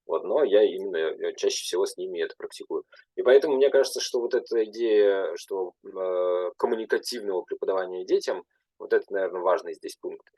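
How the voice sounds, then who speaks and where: A young man, a language teacher, speaking at a panel in a halting way with many filler sounds and restarts. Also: low-pitched, darkish tone, no echo, poor audio. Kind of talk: panel talk.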